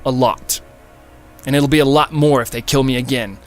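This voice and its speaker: A man voicing a cocky young male fugitive in an audiobook, hurried, dismissive and insistent. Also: mid-range voice, fairly even intonation, soft background music, natural voice. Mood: urgent, pleading.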